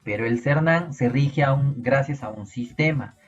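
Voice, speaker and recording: Husky-voiced Peruvian man teaching biology. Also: medium pitch, moderate pace, muffled recording, faint music behind. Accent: Peruvian Spanish. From Peru. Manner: explanatory, asks rhetorical questions to students. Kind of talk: online class lecture.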